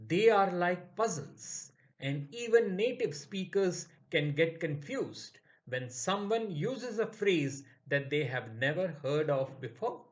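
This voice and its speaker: A man narrating a scripted English idioms lesson in a slow, measured way. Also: sits high. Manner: slow, measured, lively intonation. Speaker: English teacher.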